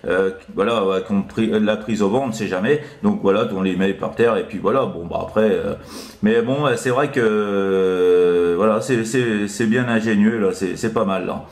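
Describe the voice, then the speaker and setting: Male CB operator, lowish voice, rambling halting delivery, noticeable room echo. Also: a little mellow. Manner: halting, repetitive, full of verbal tics. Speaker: amateur CB radio operator. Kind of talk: casual radio chat. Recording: roomy, echoing room sound.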